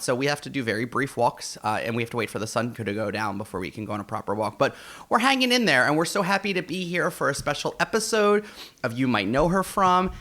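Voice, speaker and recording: An American man co-hosting a podcast in casual banter, chatty and halting, often repeating words as he searches for the next one. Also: mid-range voice, pushed and bright. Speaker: podcast co-host.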